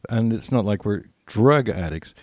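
A man's voice: lowish voice, expressive, a little slow, darkish tone, no room sound, studio quality. A man co-hosting a podcast in casual, conversational talk. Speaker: podcast co-host. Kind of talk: casual chat.

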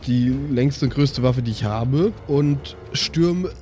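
A man's voice: mid-range voice, takes it slowly, dark tone. A man taking part in a tabletop game, talking casually about his character's moves. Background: faint background music.

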